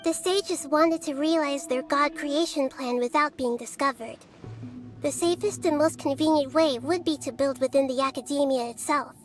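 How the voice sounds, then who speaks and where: A woman voicing a calm, inquisitive young girl-like deity in a fantasy game, soft, measured and analytical. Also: sits high, natural voice. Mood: explanatory. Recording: music behind the voice. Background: game music.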